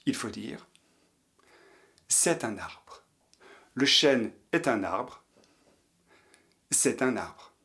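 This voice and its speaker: A man's voice: medium pitch, big pitch swings, extremely slow, vocal fry, pauses often, sharp tone. A man teaching a language lesson, patiently repeating each example sentence for learners to copy. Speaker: French teacher. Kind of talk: slow French lesson for learners.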